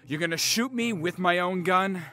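An actor playing a menacing, smug billionaire CEO in an audio drama, taunting and condescending. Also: male voice, sits high, normal speed, bright, forceful tone. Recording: music bed behind dialogue.

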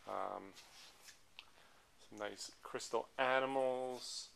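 A man's voice: mid-range voice, drawn-out words, a bit bright. An American man giving a casual, off-the-cuff walk-through as an auction presenter, listing items in a rambling way as he walks, recorded on a handheld camera. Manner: rambling item-by-item listing while walking. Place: large indoor auction hall full of tables. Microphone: handheld camera, held by speaker behind it. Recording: handheld camera audio with clear background noise.

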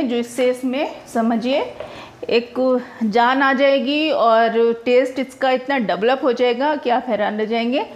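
A woman's voice: fairly high pitch, slight background noise. A woman narrating her own cooking video step by step, chatty and homely, explaining each step as she cooks in her home kitchen. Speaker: cooking vlogger.

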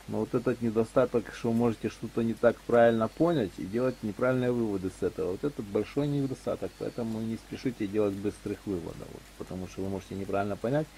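A man's voice: fairly low pitch, creaky, mellow, a little hiss or hum, low-quality recording. A man in a casual voice chat about faith, rambling and searching for words as he talks. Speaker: chat participant. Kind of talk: casual religious discussion. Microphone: walkie-talkie voice chat app.

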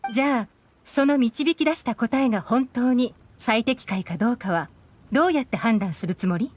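An actress playing a composed, shrewd investigator in a Japanese video game, assured and direct. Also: female voice, fairly high pitch, normal speed, slight background noise, close to an everyday voice. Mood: cool, composed.

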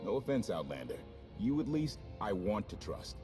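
An actor playing a confident, easygoing ex-officer rogue in a video game, casual and folksy. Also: male voice, lowish voice, big pitch swings, normal speed, mellow, soft background music. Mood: wary. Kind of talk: video game cutscene acting.

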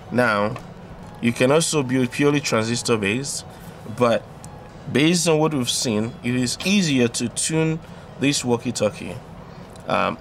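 A man's voice: mid-range voice, a little hiss or hum. A man hosting a hands-on tutorial, explaining in an instructional way and often repeating and rephrasing his steps. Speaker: electronics tutorial host.

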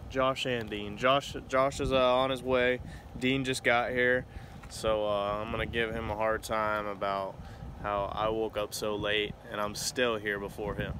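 A man's voice: mid-range voice, background noise. A man in his twenties chatting casually to his viewers like a fishing vlogger, informal and teasing. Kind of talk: casual vlog chat to viewers. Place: car and open concrete fishing pier. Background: music.

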